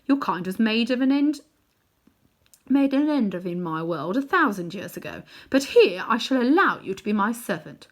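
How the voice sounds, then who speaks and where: A woman reading aloud, voicing a cold, imperious queen witch from a storybook in a loud, commanding tone. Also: fairly high pitch, very animated, voice pushed up. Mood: scornful commanding. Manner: commanding, scornful, slow and forceful.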